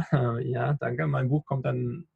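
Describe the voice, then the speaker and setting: A man's voice: mid-range voice, fairly even intonation, takes it slowly, gravelly, a crowd in the background. A man in his thirties from the Rhineland, a coach and podcaster, talking reflectively in an interview with many fillers and restarts. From Rhineland, Germany. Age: 30s. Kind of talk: casual interview talk. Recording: dull, lo-fi sound.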